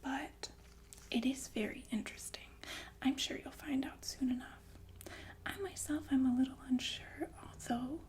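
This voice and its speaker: A woman playing a calm, sinister killer nurse in a whispered ASMR roleplay, speaking politely and matter-of-factly with hesitant, unhurried phrasing. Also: high-pitched. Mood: chatty, eerie. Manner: unhurried, hesitant, softly matter-of-fact about death. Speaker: ASMR performer.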